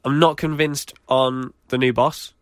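A man's voice: medium pitch, level tone, unhurried, smooth. A British man co-hosts a casual podcast, speaking his mind frankly and teasing his partner. The sound is close and dry, with no room echo. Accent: British English. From Britain. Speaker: podcast co-host.

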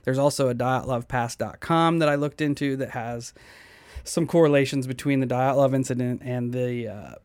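A man's voice: mid-range voice, normal speed, darkish tone, clear, resonant tone, no room sound. Guy hosting a podcast, laid back, swears a lot, trips on names, dry close mic. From United States. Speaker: podcast host. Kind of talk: casual podcast storytelling.

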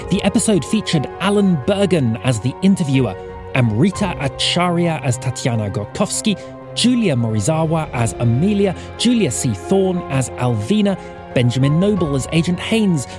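A man's voice: fairly high pitch, sing-song, normal speed, over music. A man reading scripted announcements and credits as a podcast announcer. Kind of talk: scripted narration.

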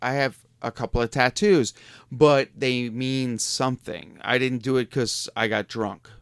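A man's voice: medium pitch, steady tone, a little slow, clear, resonant tone, dry recording, clean studio sound. A man co-hosting an interview, talking in a joking, teasing way and laughing easily. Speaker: podcast co-host. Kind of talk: casual interview chat.

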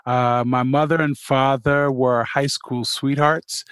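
A man's voice: medium pitch, steady tone, slow, fairly bright tone, clean tone, dry recording. Middle-aged guy from queens, earnest storytelling in an interview, dry close sound. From Queens, New York. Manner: earnest, reflective, frequent restarts and uh fillers. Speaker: guest, college president. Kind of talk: podcast interview, personal storytelling.

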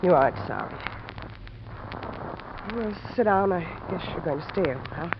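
Through the noise of a muffled vintage broadcast comes a troubled American woman. Her delivery is dramatic and halting, guarded and fatalistic, in fifties radio drama fashion. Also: fairly low pitch, animated. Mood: bitter, resigned. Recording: muffled, noisy old-time radio recording.

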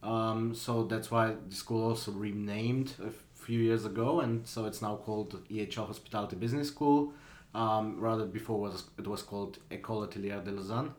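A man's voice: on the low side, fairly even intonation, moderate pace, reverberant. A man in his twenties from Slovenia, a student guest on a podcast, talking casually and hesitantly with many fillers. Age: 20s.

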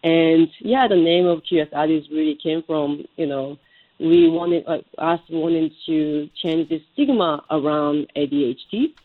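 A woman's voice: low-pitched, expressive, a little slow, narrow, tinny audio. A woman from Japan, answering interview questions conversationally and searching for words as she goes. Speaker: interview guest, startup co-founder.

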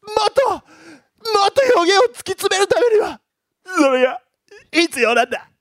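A woman's voice: very high-pitched, very animated, normal speed, dry recording, clean studio sound. A woman playing an obsessed, tormented male painter in a radio drama, frantic and strained. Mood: desperate craving. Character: obsessed, tormented male painter craving ever more extreme inspiration. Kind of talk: Japanese radio drama acting.

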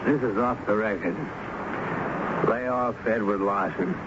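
An actor playing an impatient, authoritative police inspector in a radio drama, brisk and admonishing. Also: male voice, fairly low pitch, steady tone, natural voice. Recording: noisy, muffled old radio broadcast recording.

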